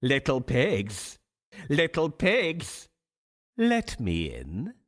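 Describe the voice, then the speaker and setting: A man's voice: mid-range voice, huge pitch swings, fairly bright tone, heavily acted. A man voicing a greedy, menacing big bad wolf in a children's storybook game, growling and drawing out his words. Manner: growling, slow and drawn-out.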